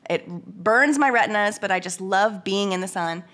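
A woman with a hoarse, congested voice from a cold, chatting casually as a podcast co-host and rambling through many restarts. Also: medium pitch, normal speed, a bit bright. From United States. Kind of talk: casual chat.

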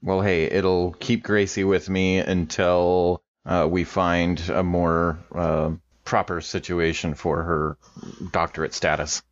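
Low-pitched man, dull lo-fi sound. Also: little pitch movement, slow pace. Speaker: tabletop game player. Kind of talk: casual role-play chat. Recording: dull, lo-fi voice track.

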